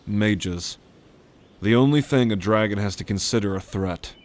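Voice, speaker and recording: A man's voice: on the low side, natural voice. A man playing a world-weary, blunt dragon brother in a roleplay audio drama, telling things in a wry way. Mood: bitter, wry. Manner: wry, unhurried storytelling with cursing.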